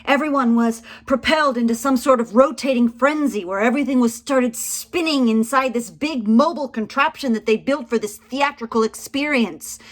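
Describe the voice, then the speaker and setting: A woman reading a first-person story aloud in a dramatic, suspenseful and theatrical manner. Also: on the high side. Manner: suspenseful, theatrical, swinging from hushed to forceful. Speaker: reader of a written memoir.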